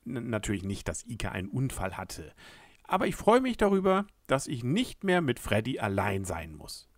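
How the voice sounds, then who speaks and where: A man's voice: mid-range voice, no room sound. A man reading a children's story aloud as a friendly letter, addressing the listener directly. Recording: dry close recording.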